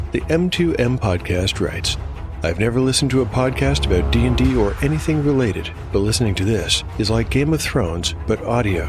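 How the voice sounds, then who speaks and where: A man narrating and hosting a fantasy audio drama podcast, reading from a script. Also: fairly low pitch. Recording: faint music underneath. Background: faint music.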